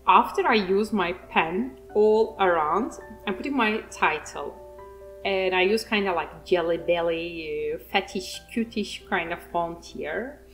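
A woman with a Turkish accent, a native Turkish speaker, giving a rambling, self-mocking voice-over while she paints, laughing often. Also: on the low side. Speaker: art channel host.

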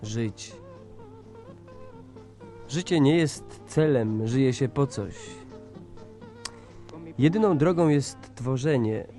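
Slow, brooding revolutionary man. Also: mid-range voice, expressive, dark tone, faint music behind. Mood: grave, defiant. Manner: grave, deliberate dramatic delivery. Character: brooding, defiant male revolutionary idealist.

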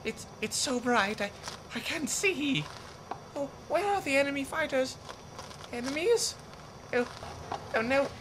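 A man's voice: very high-pitched, expressive, unhurried, long pauses, background noise, pitched above the performer's own voice. A man voicing a timid, panicky young novice pilot in an amateur training-film spoof, stammering and whimpering. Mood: panicked.

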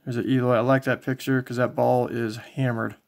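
A man casually commentating as he shows collectibles, in a low-key, folksy way, thinking aloud. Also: level tone, brisk, vocal fry. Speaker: trading card collector and video host. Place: indoors, small home tabletop setup.

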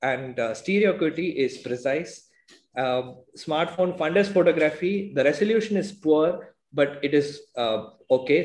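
A man in his thirties with an Indian English accent, presenting his own work to a conference over a video call in a steady, explaining way with many fillers. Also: a little high, roomy sound. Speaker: presenting doctor. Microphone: earphone mic on video call.

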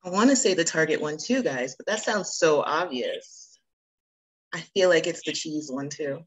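A woman's voice: low voice, lively intonation, moderate pace, slightly rough, rough recording. A woman hosting a casual group video call, playful, reacting aloud and urging people on. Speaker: host of a virtual icebreaker session.

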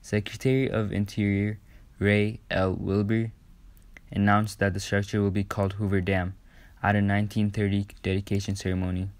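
A man's voice: lowish voice, level tone, normal speed, dry recording. A man reading out a scripted newsreel narration. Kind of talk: scripted narration read aloud.

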